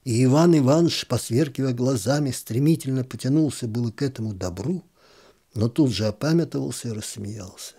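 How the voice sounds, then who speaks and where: A man's voice: medium pitch, fairly quick, mellow, husky. A man narrating a literary short story as an audiobook reader.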